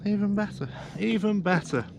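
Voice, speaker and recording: A man with a notably high voice talks casually to camera as he vlogs. He is enthusiastic and explanatory, recorded outdoors on a boat with clear water and boat noise behind him. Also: moderate pace, darkish tone. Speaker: vlogger.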